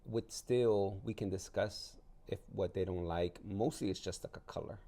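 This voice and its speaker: A man from El Salvador speaks as a guest in a casual interview. His voice is very low and mellow, with a lot of gravelly fry. He explains things informally, in anecdotes. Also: normal speed. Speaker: interview guest, artist and gallery owner.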